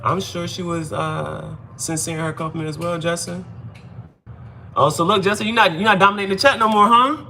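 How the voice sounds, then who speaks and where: A man's voice: sits high, steady tone, a little fast, vocal fry, pushed and bright, slight background noise. A man hosting a casual live tarot reading, chatting to viewers in slangy speech and often repeating his phrases. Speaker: tarot reader, livestream host.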